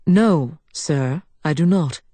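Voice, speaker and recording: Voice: medium pitch, slow pace, warm tone, no room sound. A reader voicing a composed, polished male henchman with a British boarding-school accent, speaking formally. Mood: cool, formal. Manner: formal, clipped.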